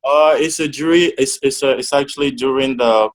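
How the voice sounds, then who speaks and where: A young man from Togo talks through his answers on a video call. He hesitates and restarts often, and his pitch sits at an ordinary middle level. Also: fairly even intonation, normal speed, low-quality recording. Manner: hesitant, many restarts and fillers. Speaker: interview guest. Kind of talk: casual interview answers.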